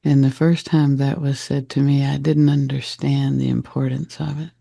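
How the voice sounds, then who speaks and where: A man guiding a meditation in a gentle, reflective manner, with deliberate short phrases and a soft, husky, gravelly voice. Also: mid-range voice, little pitch movement, no room sound, studio quality. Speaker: meditation guide. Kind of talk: guided meditation talk.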